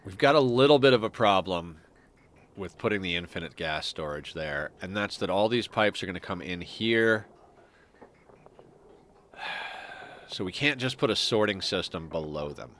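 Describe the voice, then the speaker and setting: A man with a low voice and a stuffy, congested nose talks through a game he is streaming. He mutters his plans half to himself, mocks his own choices and chuckles often. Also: expressive, normal speed, pauses often, a bit bright. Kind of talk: casual gameplay commentary.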